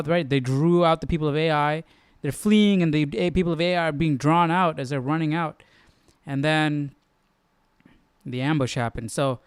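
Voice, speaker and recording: A man with a slightly high, smooth and clear voice comments casually as a study host. He muses conversationally and throws in questions, in a close, dry sound. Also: dry recording. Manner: conversational, musing, checking in with questions. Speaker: host of a live Bible study.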